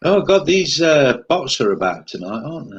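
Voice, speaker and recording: A man's voice: a little high, very animated, moderate pace, husky, dull audio. A man co-hosting a live chat stream, teasing and joking while reading out comments. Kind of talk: casual live-stream banter.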